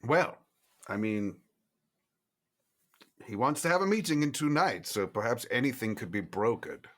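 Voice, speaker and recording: A man's voice: medium pitch, expressive, moderate pace, fairly bright tone. A man playing a genteel, droll old vampire schemer in a tabletop game, fussy and mock-polite with hesitant phrasing. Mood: musing.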